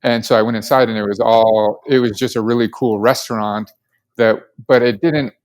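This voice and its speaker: A man's voice: fairly low pitch, steady tone, moderate pace, a little mellow, clear, resonant tone. A man from Colorado, the guest co-founder, answering questions casually and with enthusiasm, with lots of filler words. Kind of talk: casual podcast interview.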